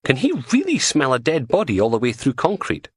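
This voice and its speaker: A man's voice: mid-range voice, huge pitch swings, brisk, breathy edge, dry recording, clean studio sound, pitched above the performer's own voice. A man voicing a weary, cynical male detective who grumbles sardonically. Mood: grumbling.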